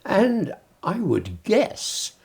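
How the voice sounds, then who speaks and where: A woman interviewing a guest, prompting gently and affirming with short replies. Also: medium pitch, huge pitch swings, drawn-out words, creaky, breathy edge. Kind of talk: interview questions and backchannels.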